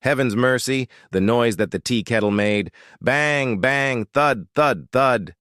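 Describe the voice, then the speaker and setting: A man narrating a folktale as a storyteller, expressive and dramatic, with deliberate emphasis. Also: medium pitch, dry recording, clean studio sound.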